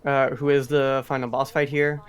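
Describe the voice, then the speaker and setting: A man's voice: medium pitch, level tone, moderate pace, clear, resonant tone. A man giving casual live commentary on a video game speedrun, explaining as he goes.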